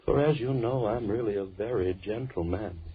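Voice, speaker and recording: A deranged killer in an old radio horror play, rather low and very husky. He muses theatrically and politely, with menace under the gentleness. The sound is tinny and muffled, like an old broadcast. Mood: musing, sinister. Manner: theatrical, mock-polite, slow musing monologue. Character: soft-spoken deranged male killer, lonely, mock-gentle, menacing. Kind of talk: 1940s radio horror acting.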